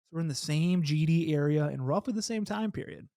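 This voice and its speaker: A young man hosting a casual podcast, slightly hoarse, rambling and laughing as he talks, swearing now and then, on a studio mic in a small home studio. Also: fairly high pitch, brisk, dark tone, no echo. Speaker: podcast host. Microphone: studio mic with headphones, on camera.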